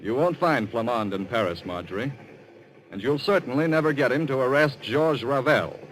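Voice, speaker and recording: An actor playing an earnest, exasperated young man in an urgent, expressive 1940s radio acting style. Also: medium pitch, normal speed, lightly acted. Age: young adult. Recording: noisy vintage radio recording.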